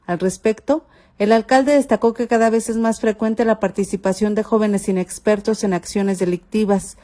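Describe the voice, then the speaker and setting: A woman's voice: mid-range voice, steady tone, normal speed, mellow. A woman with a Mexican accent narrating a scripted news report as a reporter.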